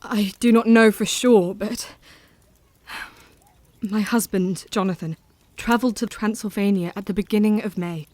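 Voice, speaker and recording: A woman's voice: medium pitch, steady tone, measured pace, darkish tone, no room sound, natural voice. A woman playing a frank, troubled but resolute Victorian wife in an audio drama, speaking formally and earnestly. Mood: troubled, confiding.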